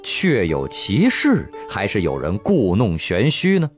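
Pompous comic magistrate, animated delivery. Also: male voice, fairly low pitch, a little slow, soft background music. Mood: mock-dramatic. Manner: theatrical, mock-solemn. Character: pompous comic Tang magistrate, mischievously self-satisfied. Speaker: radio drama actor. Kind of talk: comic radio drama acting.

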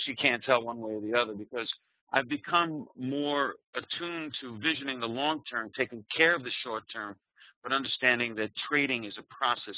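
A man's voice: medium pitch, no echo. New york guy teaching traders on a webinar, dry tinny phone-like sound, lots of uhs. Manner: conversational, frequent fillers and restarts. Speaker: trading coach presenter. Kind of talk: webinar presentation. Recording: tinny phone-like online audio.

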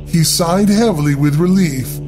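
A man narrating a scripted horror story in a deliberate, theatrical, dramatic way. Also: on the high side. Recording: music bed under the voice. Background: music.

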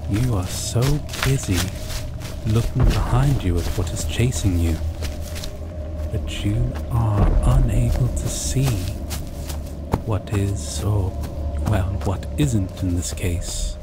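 A man narrating a scripted second-person story in an ASMR roleplay, deliberately, with dramatic pauses. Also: lowish voice, loud music behind the voice, poor audio. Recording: loud steady background noise.

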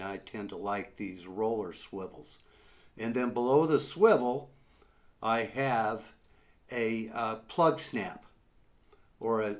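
An older man in his sixties, slowly and patiently explaining a fishing rig step by step, seated at a table in a small room. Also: lowish voice. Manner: slow, patient step-by-step explaining with many uhs. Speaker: fishing instructor. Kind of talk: how-to demonstration. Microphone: camera in front at desk distance.

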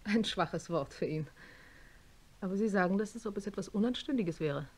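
A woman's voice: medium pitch, expressive, moderate pace, darkish tone, lightly acted. An actress playing an industrialist's younger wife in a radio drama, composed, direct and ladylike. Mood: challenging. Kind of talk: German radio drama acting.

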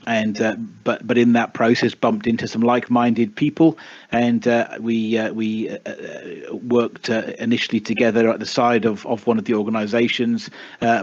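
A middle-aged man from the UK with a British accent, answering questions over voice chat with many restarts and fillers. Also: fairly low pitch. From United Kingdom. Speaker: spokesman of an advocacy group. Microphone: voice chat mic. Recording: dull lo-fi voice chat.

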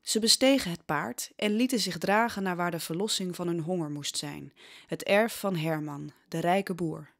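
A woman's voice: lowish voice, moderate pace, gravelly, a bit bright. A woman narrating a historical audio drama as scripted, dramatic storytelling.

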